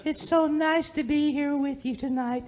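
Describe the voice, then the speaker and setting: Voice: sits high, steady tone, moderate pace, darkish tone, close to an everyday voice. A performer playing an eager, ditzy doctor's wife in a radio comedy, gushing and rambling. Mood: chirpy, eager. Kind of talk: comedy radio sketch acting.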